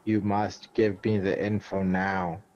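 A man's voice: deep voice, level tone, slow, darkish tone, muffled recording. A man in casual gaming chat, slangy and teasing, swearing freely. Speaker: gamer in a squad voice chat. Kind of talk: casual game chat and banter. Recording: noisy game voice chat.

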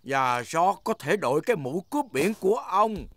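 A man's voice: high voice, big pitch swings, normal speed, a bit bright. A man voicing a playful old grandpa pig in a children's cartoon dub, hearty and theatrical.

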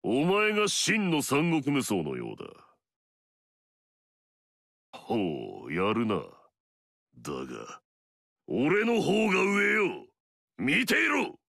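A man's voice: medium pitch, wildly swooping pitch, slow pace, creaky, pauses often, a bit bright, dry recording, clean studio sound, exaggerated voice. An actor playing an arrogant, fierce male warlord in a video game, swaggering and barking battle cries.

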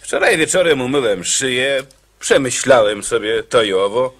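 An actor in a radio play playing a cocky condemned prisoner who chats wryly and casually about death. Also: male voice, mid-range voice, expressive, moderate pace, fairly bright tone, natural voice. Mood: dry complaint. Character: cocky, cheerful condemned male prisoner, a killer.

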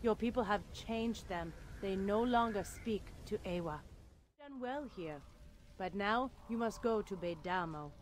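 A woman's voice: mid-range voice, lively intonation, a little slow, slight background noise, lightly acted. A woman playing a grave, sorrowful alien clanswoman in a video game, serious and foreboding in her formal speech. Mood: warning.

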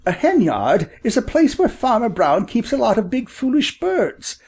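A man plays a confident father quail in a children's storybook. He explains things in an earnest, reassuring way, with a raised pitch and very lively swings. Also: moderate pace, slightly rough, heavily acted.

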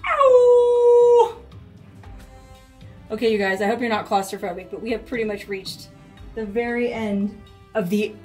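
A young woman vlogging as she explores a narrow cave, chatty and full of excited exclamations, recorded on a handheld camera. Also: fairly high pitch, unhurried, pauses often, soft background music. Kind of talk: casual vlog narration.

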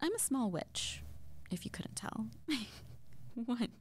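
Woman acting a slow, breathy, flustered young witch. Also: fairly high pitch, big pitch swings, a little mellow, a little hiss or hum, pitched above the performer's own voice. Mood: playful, flustered. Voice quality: breathy, often dropping to near-whisper. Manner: hesitant, stammering, giggly. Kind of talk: intimate girlfriend roleplay ASMR audio.

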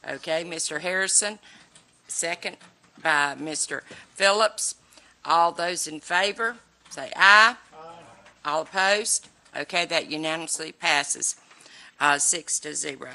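An older woman with a hoarse cold voice, chairing a public board meeting formally, with many fillers, into a desk microphone in a large room. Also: low voice, measured pace, bright, forceful tone.

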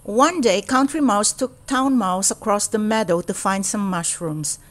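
A woman storyteller reading a children's storybook aloud in a deliberate, expressive way. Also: mid-range voice.

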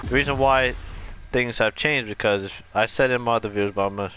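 A man's voice: mid-range voice, brisk, bright, forceful tone, smooth. A man giving a casual, unscripted gameplay explanation, rambling and correcting himself as he goes. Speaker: video game tutorial creator.

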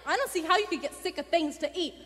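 A woman's voice: extremely high pitch, fast, pushed and bright. A woman playing an eager, opinionated young boy with childlike, emphatic speech in a live radio play. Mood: eager, argumentative. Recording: echoey theater hall.

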